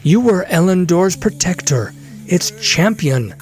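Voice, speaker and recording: Man voicing a sharp, high-pitched defiant sorceress. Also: very animated, unhurried. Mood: pleading sorrow. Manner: sharp, forceful delivery. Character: fierce young female mage, sharp-tongued, defiant. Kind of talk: fantasy audio drama. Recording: loud dramatic music behind.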